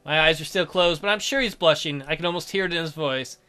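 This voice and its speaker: A man's voice: fairly high pitch, fast, pushed and bright, clear, resonant tone. A man reading visual novel narration aloud as a dramatic let's play narrator, with music behind him.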